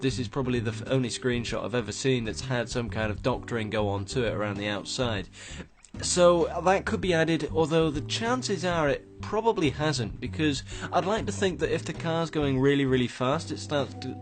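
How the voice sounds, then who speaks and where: A man hosting a gaming show, his voice slightly croaky from just waking up as he rambles through screenshot commentary with many restarts. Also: medium pitch. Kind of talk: casual commentary on game screenshots.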